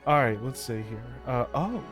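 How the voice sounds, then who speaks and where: A man livestreaming a game, chatting casually in a halting, repetitive way. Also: medium pitch, expressive. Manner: halting, repeats himself. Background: game music.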